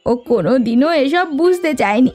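A woman's voice: high voice, expressive, fairly quick, a little mellow, faint music behind. A woman voicing a bitter, lamenting village sister-in-law in a cartoon, with a wailing, reproachful manner. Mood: despairing. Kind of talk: cartoon moral story voice acting.

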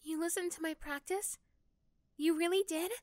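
A woman voicing a young, caring but easily flustered girl next door in an ASMR roleplay, with an emotional, halting delivery. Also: very high-pitched, fairly even intonation, a little mellow, no room sound, clean studio sound, pitched above the performer's own voice. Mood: vulnerable, pleading.

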